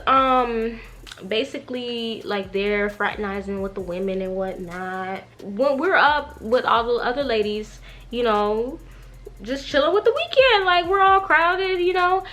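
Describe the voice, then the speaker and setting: A young woman vlogger with a high, very animated voice chats through a storytime. She is slangy and gossipy, slipping into quoted dialogue as she talks. Music plays softly behind her. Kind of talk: animated casual storytime chat.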